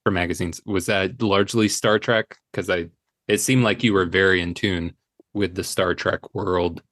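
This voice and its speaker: A man's voice: deep voice, steady tone, moderate pace, a bit bright, no room sound, clean studio sound. An American man chatting casually and enthusiastically as a podcast guest. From United States. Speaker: podcast guest, audio drama creator. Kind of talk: casual chat.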